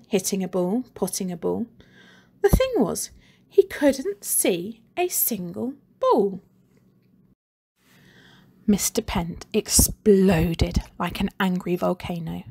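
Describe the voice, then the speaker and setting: A woman teacher reading a children's book aloud with expressive, animated storytelling. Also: medium pitch, creaky.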